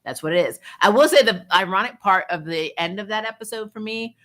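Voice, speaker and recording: A woman giving a casual, opinionated recap as an online reviewer, expressive and informal in her slangy delivery. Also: mid-range voice, a little fast. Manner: expressive, informal slang, frequent laughing asides. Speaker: YouTube TV show reviewer, host.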